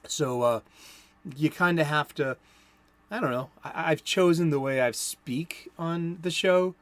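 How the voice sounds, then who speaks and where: A man's voice: on the high side, measured pace, dry recording. A man from Boston hosting a solo comics talk show, chatty and playful with rambling asides.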